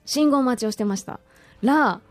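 A woman's voice: a little high, normal speed, dry recording, soft background music. A woman talking conversationally as a radio guest, politely and expressively sharing personal recollections.

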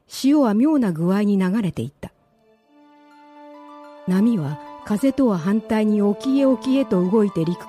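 Female storyteller for radio drama narration, warm mellow timbre, scripted dramatic delivery over a music bed. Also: on the high side.

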